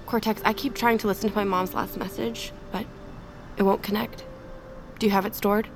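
An actress playing a guarded, weary but defiant survivor in a naturalistic audio drama, with terse, blunt delivery. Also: female voice, mid-range voice, fairly even intonation, normal speed, music in the background, close to an everyday voice. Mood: subdued, weary.

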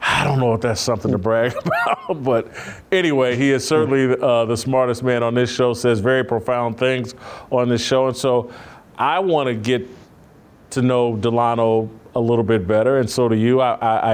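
A man's voice: mid-range voice, normal speed. A man hosting a holiday interview, asking loose, rambling questions with restarts. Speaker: podcast host. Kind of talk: casual interview.

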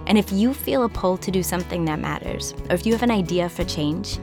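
A woman from Minnesota hosting a podcast, narrating a script in an earnest, measured way. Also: fairly low pitch, animated, music in the background. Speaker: podcast host.

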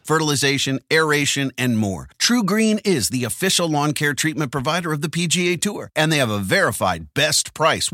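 A man reading a radio ad in an upbeat, salesy pitch. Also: a bit bright, no echo, clean studio sound. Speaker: ad announcer. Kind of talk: scripted radio ad.

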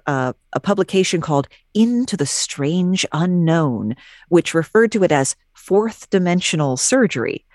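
A woman, a podcast guest, reading out facts from her notes in a casual chat and tossing in wry, deadpan jokes. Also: low-pitched, dry recording, clean studio sound. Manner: wry, jokey asides, deadpan delivery.

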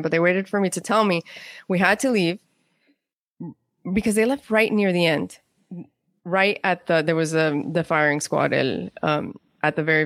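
A woman with a slightly low voice, recorded close and dry with hardly any room sound. She talks as an interview guest, telling anecdotes in a loose conversational way and slipping into quotes. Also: fairly bright tone, no echo. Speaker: guest, playwright.

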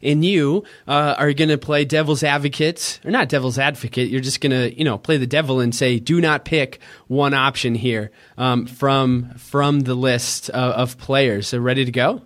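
Excitable young man with a squeaky voice. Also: normal speed, hardly any pauses. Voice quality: high squeaky voice. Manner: excitable, cheers at the game, jokey. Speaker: podcast co-host. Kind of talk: casual fantasy sports podcast chat.

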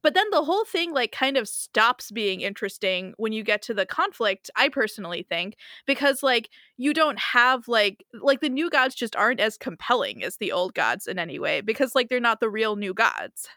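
A young woman in a casual discussion, talking in quick animated bursts packed with "like" fillers. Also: fairly high pitch, no room sound, studio quality. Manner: fast, animated talk full of "like" fillers.

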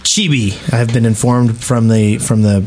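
Halting man chatting on a gaming podcast. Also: mid-range voice, steady tone, darkish tone, a little hiss or hum. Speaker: podcast co-host. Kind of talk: casual gaming podcast chat.

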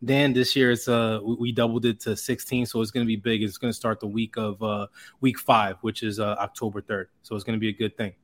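A man's voice: fairly low pitch, little pitch movement, brisk. A man representing a sponsor on a podcast, talking casually and hesitantly with many fillers.

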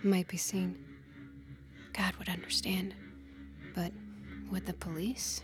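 An actress playing a pious, coolly murderous teenage girl in a horror audio drama, with a breathy, hushed delivery. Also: on the low side, little pitch movement, slow pace. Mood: hushed scheming. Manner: breathy, hushed, often whispering to herself.

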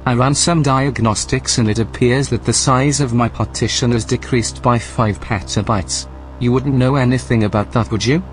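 A man performs a male AI running a remote station in an audio drama. The voice is medium in pitch, formal and measured, with veiled menace under its politeness. Faint music sits behind it. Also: fairly even intonation, lightly acted, poor audio. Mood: suspicious.

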